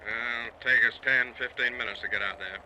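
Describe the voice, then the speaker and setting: A laconic, unconcerned policeman in old-time radio style. His mid-pitched voice is strikingly sharp, over clear recording noise. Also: fairly even intonation, moderate pace, lo-fi sound. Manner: laconic, matter-of-fact. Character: unhurried, unconcerned small-town policeman on the phone. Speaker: police officer.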